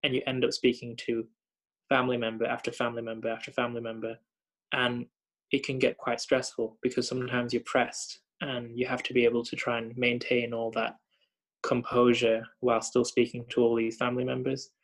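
A man's voice: medium pitch, completely flat delivery, fairly quick, fairly bright tone. A young man from Thailand working as a hospital doctor, talking thoughtfully with many fillers and repeated starts. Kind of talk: reflective conversation.

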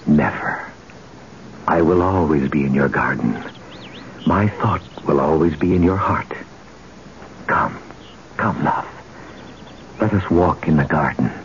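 An actor playing an ancient god, romantic, brooding and commanding, speaking in a solemn, intimate, declamatory way in an old-time radio drama. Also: male voice, very deep voice, slow, long pauses, full character voice. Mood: tender, romantic. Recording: muffled, noisy old radio broadcast.

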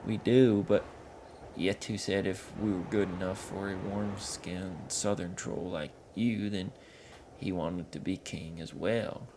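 A man voicing a gruff, blunt male troll henchman who talks loudly in broken rustic grammar, over a noisy background hiss. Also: sits low, fairly even intonation, takes it slowly. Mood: gossipy.

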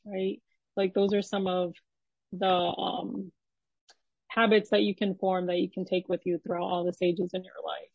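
A woman's voice: mid-range voice, dry recording. A young woman hosting an interview, affirming the guest and asking curious questions with casual fillers. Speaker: podcast host. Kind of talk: conversational interview. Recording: dry, slightly dull mic.

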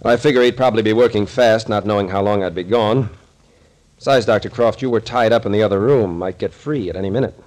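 An actor playing a gruff, shrewd captain who takes charge, dry and commanding, in an old radio thriller. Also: male voice, lowish voice, quick pace, darkish tone, close to an everyday voice. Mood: dry, explaining.

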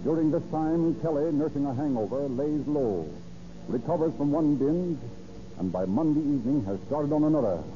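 A man's voice: fairly high pitch, animated, moderate pace, noisy background, natural voice. A man narrating a crime story in a dramatic, scripted 1930s radio style. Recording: muffled old radio recording. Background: music.

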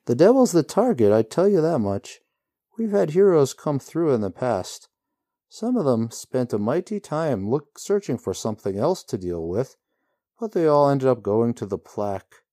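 A man's voice: medium pitch, sing-song, moderate pace, mellow, no room sound, clean studio sound, pitched above the performer's own voice. A man voicing a cheery, folksy woman who rides an emu, chatting casually about grim things. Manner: chatty, folksy, drawling asides. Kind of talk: storybook reading.

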